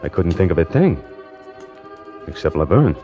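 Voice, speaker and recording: Streetwise, restless salesman narrator, low voice with big swings, 1940s radio suspense, music behind. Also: sing-song, normal speed, mellow, lo-fi sound. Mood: irritated, haunted. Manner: streetwise, colloquial, dramatic. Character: slangy, restless, hard-up male necktie salesman narrating his troubles. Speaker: lead actor and narrator of a radio suspense play.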